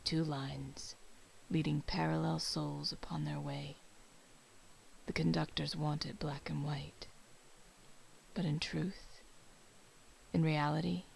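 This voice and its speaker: A woman giving a dramatic, solemn poetry reading, measured, with phrases that often trail into breath. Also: low-pitched, little pitch movement, creaky, a little hiss or hum, rough recording. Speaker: poetry reader.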